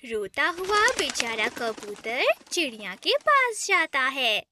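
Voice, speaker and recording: Female storyteller for kids' tales: high-pitched, slow-paced, very animated scripted narration. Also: background noise.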